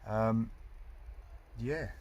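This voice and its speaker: A man's voice: lowish voice, extremely slow, a little mellow, highs cut off, a little hiss or hum. A British man giving a casual hands-on walkthrough, informal and colloquial, thinking aloud as he goes. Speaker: hobbyist vlogger. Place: in and around a parked car.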